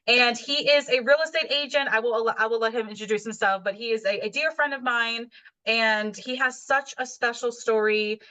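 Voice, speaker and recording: A woman's voice: a little high, steady tone, normal speed, low-quality recording. A woman coach hosting a video call interview, introducing her guest with enthusiasm and many fillers. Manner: enthusiastic, warm, filler-heavy. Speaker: host, coach.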